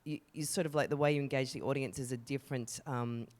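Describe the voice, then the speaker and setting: A woman's voice: a bass voice, fairly even intonation, fast talker, a little mellow. A woman, chatting effusively as she presents an arts interview. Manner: effusive, enthusiastic.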